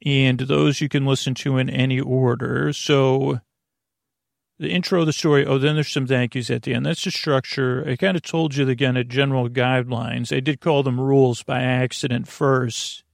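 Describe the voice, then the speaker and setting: A man's voice: medium pitch, fairly even intonation, moderate pace, dry recording, clean studio sound. A man hosting a sleep podcast, talking in a meandering, earnest way that keeps correcting itself. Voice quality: creaky. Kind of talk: rambling bedtime podcast talk.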